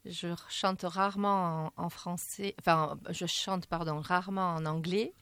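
A woman singer answering radio interview questions in a halting way, with many fillers and restarts. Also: lowish voice, steady tone, a bit bright, clear, resonant tone, no room sound. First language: French.

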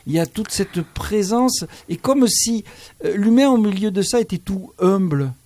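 A man's voice: high-pitched, animated, moderate pace, a little mellow. A man hosting a radio studio interview, talking in a reflective, musing way with lyrical asides. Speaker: radio host.

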